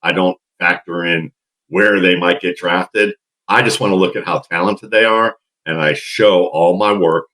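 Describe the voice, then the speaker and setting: A middle-aged man with a deep baritone and a husky edge, talking in a relaxed, storytelling way as a podcast guest. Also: unhurried. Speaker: guest draft analyst. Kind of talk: casual podcast interview.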